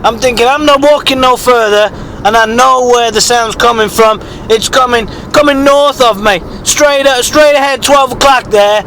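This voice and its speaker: A man voicing an eccentric, foul-mouthed rustic bigfoot hunter, husky with a sore throat, telling a halting, rambling, repetitive mock-documentary tale. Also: very high voice, sharp tone, slight background noise, heavily acted, poor audio. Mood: suspenseful, bewildered.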